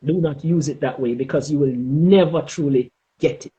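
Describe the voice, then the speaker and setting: A middle-aged man with a Jamaican accent giving advice in an interview, speaking emphatically and deliberately and often checking in with "okay?". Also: fairly high pitch, warm tone. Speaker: interview guest, former air traffic controller.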